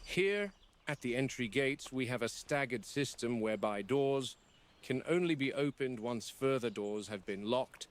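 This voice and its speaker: A man's voice: medium pitch, lively intonation, lightly acted. A man playing a grave, formal game warden. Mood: measured, grave. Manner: formal, objective, deliberate. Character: measured, serious male game warden documenting dangerous predators. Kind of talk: scripted log narration, found-footage horror film.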